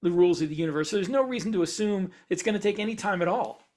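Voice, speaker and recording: A man co-hosting a casual podcast, explaining in a halting way and repeating words as he works out his thoughts. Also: high voice, a little mellow. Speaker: podcast co-host and science fiction author.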